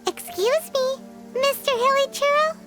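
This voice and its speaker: A tiny childlike fairy companion for a video game. The voice is extremely high and squeaky, with unhurried, bossy and blunt delivery. Music plays prominently behind it. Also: female voice, a bit bright, full character voice. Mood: chirpy, reasoning. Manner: blunt, speaks of self in third person.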